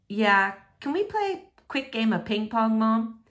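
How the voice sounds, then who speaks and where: A woman's voice: a little high, sing-song, a little slow, fairly bright tone. Storybook role: a woman as a complaining boy, sullen and pleading with a parent. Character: sullen, protesting boy starting high school soon.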